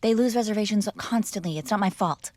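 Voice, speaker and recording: A woman's voice: mid-range voice, a little fast, darkish tone, dry recording, natural voice. A woman playing a mob boss's spoiled, bratty young adult daughter in an intimate roleplay, speaking casually with sarcastic, exasperated argument. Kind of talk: intimate ASMR roleplay acting, one-sided conversation.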